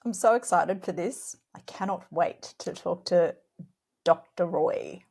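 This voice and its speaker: Enthusiastic woman with a creaky voice. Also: fairly low pitch, unhurried, a little mellow. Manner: enthusiastic, frequent short affirmations. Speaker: podcast co-host. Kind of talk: casual podcast chat.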